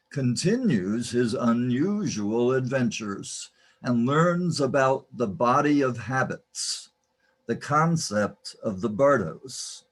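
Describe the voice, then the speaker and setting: A man giving scripted, theatrical narration for a radio play series in a measured, ceremonious manner. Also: mid-range voice.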